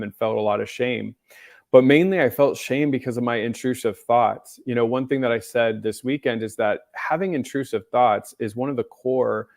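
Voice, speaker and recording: A man's voice: lowish voice, vocal fry, a little mellow, no room sound, clean studio sound. An American man hosting a question-and-answer livestream, speaking conversationally and earnestly, with emphatic repeated lists as he mixes personal testimony and advice. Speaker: mental health advocate hosting a livestream Q&A.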